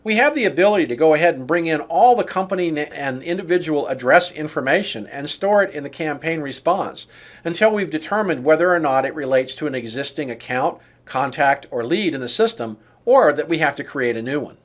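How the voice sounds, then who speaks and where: A man giving scripted instructional narration as a software trainer, in a measured, explanatory way that sets out lists item by item. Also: fairly high pitch, animated.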